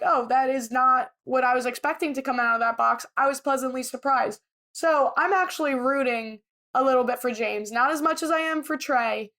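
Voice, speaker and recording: A woman's voice: high-pitched, a bit bright. A woman co-hosting a podcast, chatting casually and giving her opinions freely. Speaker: podcast co-host. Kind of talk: casual chat, reality TV recap.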